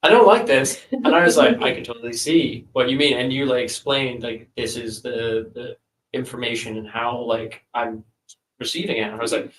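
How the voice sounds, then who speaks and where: A man's voice: mid-range voice, normal speed, recorded from a distance. Guy chatting loose, lots of ums and restarts, roomy echo, kinda off mic. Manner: rambling with many fillers and restarts. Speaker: author. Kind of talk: casual chat.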